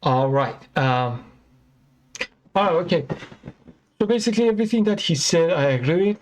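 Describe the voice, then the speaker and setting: A man from Iran, a non-native English speaker, giving a casual reaction commentary with frequent fillers and halting restarts. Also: on the high side, lively intonation, a little hiss or hum, low-quality recording. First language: Persian. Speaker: commentary channel host.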